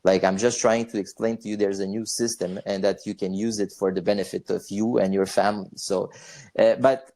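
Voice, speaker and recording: A young Bosnian-born man with a fairly low voice talks loosely and at length as a podcast guest. He circles back on himself, drops "uh" and "you know" everywhere and swears freely. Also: fairly even intonation, a little fast, dark tone. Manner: rambling, swearing, many fillers and restarts. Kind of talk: casual interview chat.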